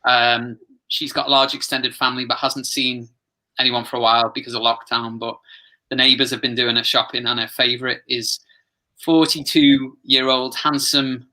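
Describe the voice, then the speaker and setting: A man taking part in a workshop, informally describing something in a hesitant, halting way. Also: medium pitch, flat delivery, sharp tone, rough recording. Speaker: workshop participant. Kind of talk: informal sharing of a character sketch.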